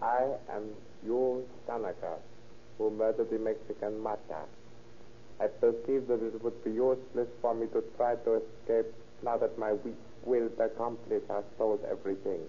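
Guy doing formal polite killer, very sharp piercing voice, noisy old radio play. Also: fairly low pitch, steady tone, normal speed, natural voice. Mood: stiffly resigned. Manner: stiff, over-formal, precise wording. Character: formal, coldly polite Japanese man surrendering for murder. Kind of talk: 1930s radio crime drama acting. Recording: muffled noisy 1930s radio recording.

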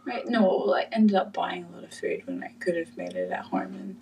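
A woman co-hosting a casual podcast chat, easygoing and laughing often, with a croaky sore throat. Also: medium pitch, brisk, distant mic, background noise. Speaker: podcast co-host.

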